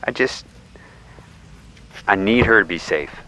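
An actor playing an anxious, caring friend in an audio drama, speaking casually and haltingly with worry. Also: male voice, lowish voice, lively intonation, pushed and bright, slight background noise, low-quality recording. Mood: worried. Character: anxious caring male friend searching for someone.

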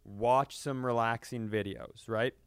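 A man's voice: medium pitch, steady tone, slow, smooth, no echo, clean studio sound. A man co-hosting a comedy podcast, telling anecdotes in a casual, rambling way with some swearing. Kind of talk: casual comedic storytelling chat.

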